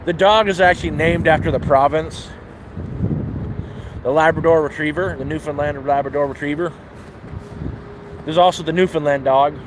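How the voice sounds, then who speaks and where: A man with a Toronto accent talks to his phone camera as he walks a city street, a little higher-voiced than most men. He rambles along in a loose, chatty way. Faint traffic hum sits under his voice. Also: fairly even intonation, normal speed, pauses often. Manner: rambling, chatty, talks while walking outdoors. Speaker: vlogger. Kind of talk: casual walking vlog talk.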